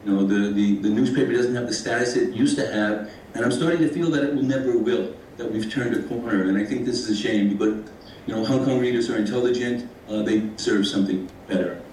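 A man speaking earnestly as a panelist, halting with many fillers. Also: mid-range voice, darkish tone, a little hiss or hum. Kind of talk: panel speech. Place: panel event hall. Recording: echoey hall from far off.

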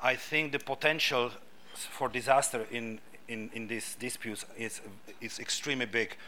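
Czech-accented man, middle-aged, halting club talk with bright tone and light room noise. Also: medium pitch, fairly even intonation. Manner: hesitant, many restarts and fillers. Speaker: guest speaker, filmmaker. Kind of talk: public talk at a club event.

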